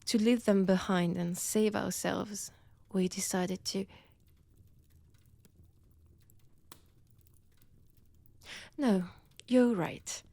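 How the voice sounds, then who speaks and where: Woman playing a slow, whispering French-accented vampire seductress. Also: medium pitch, dark tone. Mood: wry, bitter. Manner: slow, taunting, theatrical, dropping often into breathy whispers. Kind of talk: intimate scripted roleplay audio drama, often whispered.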